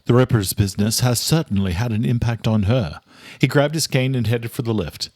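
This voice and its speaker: A man reading a scripted audiobook as narrator, telling the story in a dramatic, measured way. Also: mid-range voice, darkish tone, no room sound, clean studio sound.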